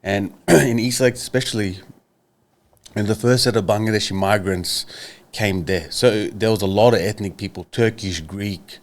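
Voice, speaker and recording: An Australian man in his thirties, co-hosting a podcast, earnest and halting as he steers the chat with questions. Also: on the low side, fairly even intonation. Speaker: podcast co-host.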